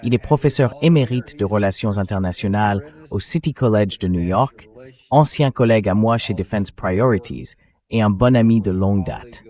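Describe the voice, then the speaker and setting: A man's voice: on the low side, moderate pace, mellow. A man hosting a political interview show, speaking as a translated synthetic voice-over.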